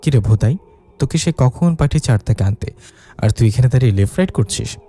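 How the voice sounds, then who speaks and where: A man voicing a bossy, worried woman who scolds with a sharp tongue in a dramatized story. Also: mid-range voice, expressive, fast. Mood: scolding, alarmed.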